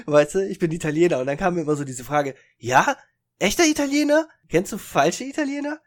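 A man's voice: high-pitched, expressive, moderate pace, long pauses. A young man from Germany, co-hosting a casual podcast chat, rambling with restarts and fillers and laughing often. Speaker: podcast co-host.

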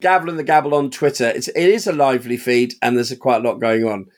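A man chatting on a casual podcast, telling stories from his auction house with lively, animated energy. He acts out the people in his stories, his voice jumping up when he mimics them, and he laughs often. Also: medium pitch, fast. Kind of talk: casual chat.

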